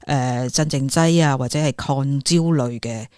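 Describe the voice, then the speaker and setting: A woman's voice: extremely low pitch, normal speed, darkish tone, no echo, studio quality. A woman with a Hong Kong Cantonese accent explaining things conversationally as a psychologist guest, dropping English terms into her speech. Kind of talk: conversational expert explanation on a radio talk.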